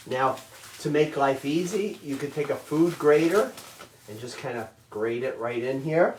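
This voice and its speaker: A middle-aged man leads an online baking class in a loud, friendly, chatty way, ending nearly every step with "okay?". He sounds far from the mic in an echoey kitchen. A faint hum sits behind him. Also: on the high side, lively intonation, unhurried. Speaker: cooking instructor. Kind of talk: live virtual baking class.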